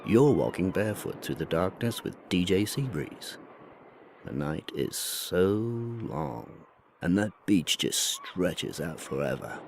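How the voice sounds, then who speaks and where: A low-voiced, dreamy late-night DJ acted by a man on a fictional ambient radio station. He speaks in soothing, unhurried, hypnotic patter. Noticeable ambient noise runs behind his voice. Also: expressive, vocal fry, exaggerated voice.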